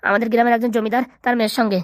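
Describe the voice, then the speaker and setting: A woman's voice: a little high, little pitch movement, very fast, dry recording, clean studio sound, close to an everyday voice. A woman voicing a poor, desperate young runaway man in a comedy cartoon, pleading meekly. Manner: pleading, meek.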